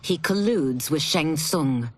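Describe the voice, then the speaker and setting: A grave woman from a video game, speaks in a low, hushed voice. Her phrasing is formal, with faint ambient noise behind her. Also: expressive, slow. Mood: grave, wary. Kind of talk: video game cutscene acting.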